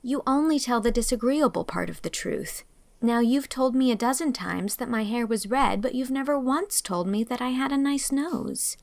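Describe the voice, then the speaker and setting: Woman playing an earnest, gravelly-edged young woman. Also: a little high, moderate pace, no room sound, pitched above the performer's own voice. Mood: reproachful. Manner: earnest, expressive, gently scolding. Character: earnest, dreamy, reproachful red-haired young woman. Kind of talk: audiobook character acting.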